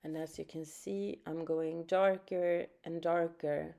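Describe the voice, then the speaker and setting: A woman's voice: low-pitched, fairly even intonation, slow pace, creaky. A Swedish woman with a Swedish accent, speaking English as she gives an unscripted watercolor painting lesson and thinks aloud as she works. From Sweden. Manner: soft-spoken, thinking aloud with fillers. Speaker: art teacher.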